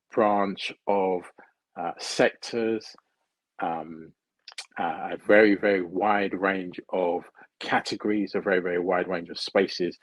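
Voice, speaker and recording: A middle-aged British man answering interview questions in a measured way, repeating phrases as he searches for words. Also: low-pitched, husky, no room sound, poor audio. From United Kingdom. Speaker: guest, procurement consultant.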